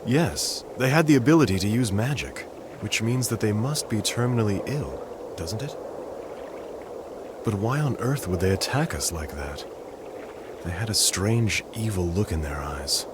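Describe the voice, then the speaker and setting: A man playing a grave, thoughtful gentlemanly traveller in a video game cutscene, speaking formally and pensively. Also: lowish voice, lively intonation, normal speed, natural voice. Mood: grave, puzzled. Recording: clear background noise.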